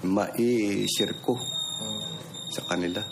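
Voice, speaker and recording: Creaky-voiced Filipino man in his fifties. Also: fairly low pitch, fairly even intonation, slow, over music. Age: 50s. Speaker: villager giving a testimonial. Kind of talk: personal testimony, informal storytelling. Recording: noisy field recording with ambient sound.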